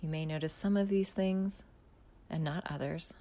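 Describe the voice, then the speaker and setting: A woman calmly guiding a body scan meditation, speaking slowly and softly with long pauses and a half-whispered delivery. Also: low voice. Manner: slow, soft, calm instructions with long pauses, often half-whispered. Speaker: mindfulness guide.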